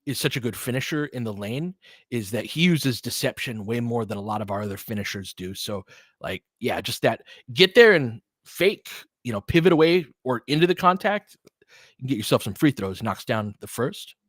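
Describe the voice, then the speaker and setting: Male basketball play-by-play commentator, medium pitch, clipped and informal delivery, dry close sound. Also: animated, moderate pace, fairly bright tone, dry recording. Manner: clipped phrases, informal asides.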